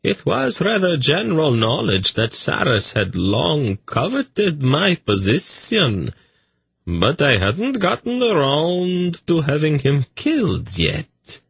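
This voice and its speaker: A man voicing a dreamy, scheming male eunuch in an audiobook, telling a story in a smooth, lilting way. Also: fairly high pitch, big pitch swings, slow pace, a bit bright, no room sound, voice pushed up, heavily acted. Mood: wry storytelling.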